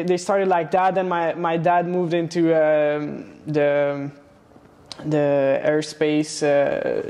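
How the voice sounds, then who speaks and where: A young man from Romania, a guest in a studio interview, talking casually with many pauses and restarts. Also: fairly high pitch, a little mellow. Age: young adult. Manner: hesitant, many fillers and restarts. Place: studio set with plant wall and neon sign. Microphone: lapel mic.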